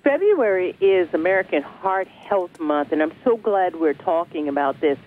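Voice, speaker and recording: A woman's voice: fairly low pitch, expressive, moderate pace. A woman hosting a broadcast interview, welcoming her guest effusively and asking questions. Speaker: talk show host. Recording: phone-like lo-fi line with faint noise.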